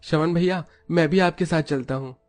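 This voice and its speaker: A man's voice: fairly high pitch, normal speed, faint music behind, pitched above the performer's own voice, lightly acted. A man voicing an eager, devoted younger brother in a cartoon moral story, respectful and insistent. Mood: eager, pleading.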